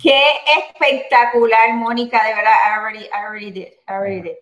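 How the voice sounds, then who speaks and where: A woman's voice: fairly high pitch, a little fast, a bit bright, reverberant, lo-fi sound. A woman hosting a casual live stream. She chats animatedly, greets viewers by name and slips English words into her Spanish. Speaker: host of a live social media show.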